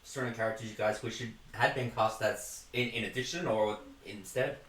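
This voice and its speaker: A man's voice: fairly low pitch, steady tone, a little fast, a bit bright, roomy sound, poor audio. A man hosting a casual interview in a jokey, informal way. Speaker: interview host.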